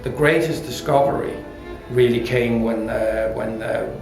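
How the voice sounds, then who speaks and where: Male interviewee, designer type: gravelly, thoughtful, halting delivery, recorded in an echoey room. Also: medium pitch, fairly even intonation. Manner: hesitant, reflective, often rephrasing mid-sentence. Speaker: audio company designer being interviewed. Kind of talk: unscripted explanation in an interview. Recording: roomy echo with music behind.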